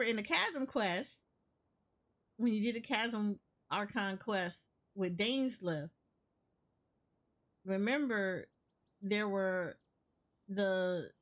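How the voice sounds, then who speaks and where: A woman's voice: medium pitch, a bit bright. A woman giving casual game reaction commentary, thinking aloud and often repeating herself. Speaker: gaming streamer. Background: game music.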